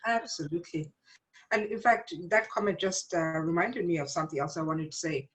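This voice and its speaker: Middle-aged woman, slightly low register. Thoughtful interview guest who explains things in a measured, conversational way. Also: poor audio. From born in Uganda, grew up in South Africa. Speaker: interview guest, psychologist and teacher.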